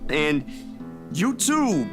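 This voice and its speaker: An actor playing a bashful, friendly young photographer in a visual novel game, casual and stammering when flustered. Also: male voice, on the high side, sing-song, drawn-out words, soft background music, heavily acted. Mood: sheepish, friendly.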